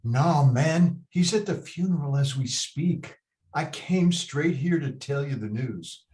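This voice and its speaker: A man's voice: fairly high pitch, lively intonation, slow, darkish tone. A man in a table read over a video call, playing a loyal, sorrowful friend who speaks in street slang. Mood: grave, sorry. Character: loyal, sorrowful male friend bearing bad news. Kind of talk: table read of a stage play, urban street talk.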